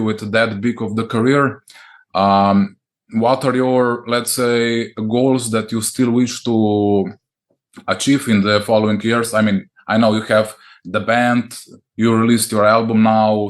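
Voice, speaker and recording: Hesitant Slovene man interviewing. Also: fairly low pitch. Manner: hesitant, many ums and restarts. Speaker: podcast interviewer. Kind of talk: interview in English.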